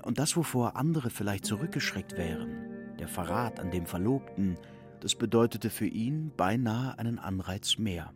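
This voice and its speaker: Low-voiced male narrator over music. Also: animated, normal speed. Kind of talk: literary audio drama narration.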